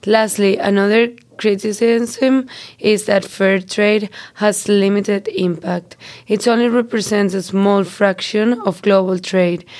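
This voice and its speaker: A woman's voice: mid-range voice, darkish tone. A young woman from Spain hosting a student podcast, reading prepared lines evenly. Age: young adult. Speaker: host. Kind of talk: student podcast, read from notes.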